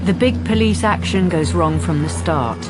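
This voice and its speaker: A woman giving measured, scripted narration for a crime radio drama. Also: fairly low pitch, over loud music, natural voice.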